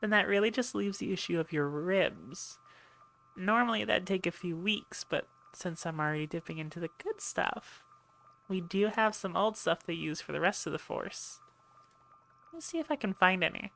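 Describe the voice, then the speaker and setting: A woman's voice: fairly low pitch, animated, normal speed, vocal fry, fairly bright tone. A woman playing a brusque, sarcastic ship medic in an ASMR roleplay, dry and impatient, talking straight to her patient. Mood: businesslike. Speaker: voice actor in audio roleplay. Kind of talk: ASMR roleplay acting, speaking to listener.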